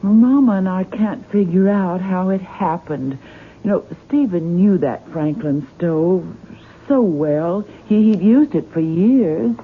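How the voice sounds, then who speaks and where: An earnest, courteous daughter talks slowly and with care, as in a seventies radio play. The recording is thin and tinny with a little background noise. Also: female voice, on the low side. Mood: subdued, earnest. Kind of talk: 1970s radio drama acting. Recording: tinny, noisy 1970s radio broadcast recording.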